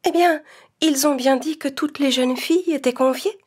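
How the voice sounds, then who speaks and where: A woman voicing a gentle, timid, sad young heroine in a children's fairy tale cartoon. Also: high voice, moderate pace, lightly acted.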